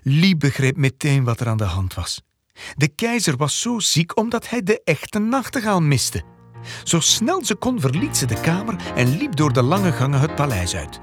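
A narrator with a breathy, husky voice telling a children's story in a dramatic, expressive way. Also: mid-range voice, normal speed, dry recording. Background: faint music.

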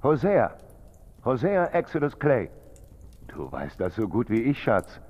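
Casting: lovesick naive man, lively intonation, dull-sounding radio drama recording. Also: medium pitch, measured pace, slight background noise. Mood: pleading, tender. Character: lovesick, gullible man calling to his sweetheart. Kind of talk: German radio crime drama acting.

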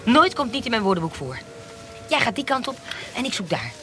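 An actress playing a cunning, vengeful adventuress in a radio drama, self-assured and commanding. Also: female voice, fairly high pitch, very animated, fairly quick, fairly bright tone, faint music behind.

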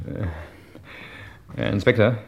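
An actor playing a wary criminal turned informant, measured, polite and a little hesitant, in an old German crime radio play. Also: male voice, slight background noise, close to an everyday voice. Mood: grave, worried.

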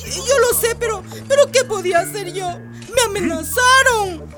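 A woman's voice: very high voice, normal speed. An actress playing a frightened older woman who pleads and stammers in a radio drama. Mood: anxious excusing. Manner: pleading, stammering, repeating herself. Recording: loud music behind the voice.

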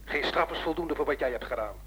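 A man's voice: a little high, fast talker, fairly bright tone, a little hiss or hum, close to an everyday voice, rough recording. An actor playing a vindictive, taunting male caller who accuses insistently in a radio play. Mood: accusing, menacing. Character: menacing, vindictive male caller haunting a guilty man.

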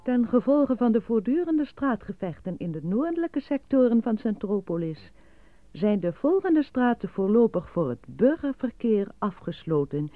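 An actress voicing a formal, matter-of-fact state broadcast announcer reading grim bulletins in a radio play. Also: female voice, fairly high pitch, big pitch swings, normal speed. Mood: official, measured. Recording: dry, dull lo-fi radio recording.

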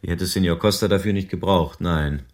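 A man's voice: low-pitched, brisk, close to an everyday voice. An actor playing a sober, world-weary male private detective in a radio crime drama, explaining things patiently.

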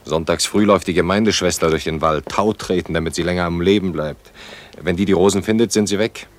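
Low-voiced, shy bachelor in his thirties played by an actor. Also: a little fast, lightly acted. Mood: wistful. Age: 30s. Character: shy, courteous, wry bachelor of thirty-two, eager host. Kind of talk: naturalistic German radio play dialogue.

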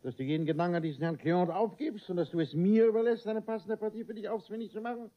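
A man's voice: high voice, fast, close to an everyday voice. An actor playing a pompous, gullible middle-aged father in a classic comedy radio play, blustering, imperious and easily flustered. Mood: stern, self-important. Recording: dull, band-limited old radio recording.

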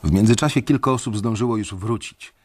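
A young man narrating a radio drama in the first person, measured and brooding. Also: on the low side, a little mellow. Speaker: narrator and main character.

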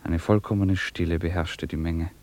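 An actor playing a grave, contemplative storyteller, narrating a classic tale in a measured, solemn way for a radio drama. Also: male voice, sits low, fairly even intonation, a little mellow, natural voice. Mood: hushed, grave.

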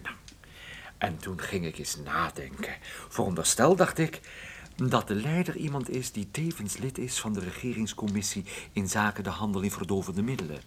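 A man's voice: lowish voice, lively intonation, normal speed, slightly rough. Een man die in een hoorspel een droge, sardonische en onbuigzame privédetective speelt. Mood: explaining. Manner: dry, sardonic. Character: wry, unyielding male private detective confronting a criminal. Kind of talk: radio drama acting.

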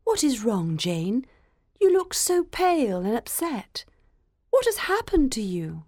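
A woman acts a caring, excitable young sister in a storybook drama. Her voice is high and very animated, sympathetic in manner, recorded dry. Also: takes it slowly, dry recording, heavily acted. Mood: concerned. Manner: expressive, sympathetic.

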